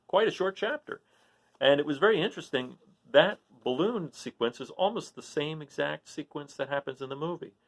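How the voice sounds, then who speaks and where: A male storyteller reading aloud to an audience as series host. His voice is fairly high and very expressive in pitch, with some creak at the edges. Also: normal speed. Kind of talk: storybook narration with informal asides.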